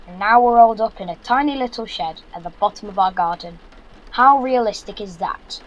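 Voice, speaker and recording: A man's voice: sits high, a little fast, a little hiss or hum, lightly acted, rough recording. A young man playing a sarcastic, exasperated fifteen-year-old son in an audio drama, with a Yorkshire accent and blunt delivery. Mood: indignant. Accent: Yorkshire English. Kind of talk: naturalistic audio drama acting.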